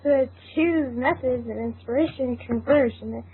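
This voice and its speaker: A woman's voice: high-pitched, normal speed. A woman reading scripted narration for an amateur fan fiction audio drama. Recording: tinny phone-like audio, clearly noisy.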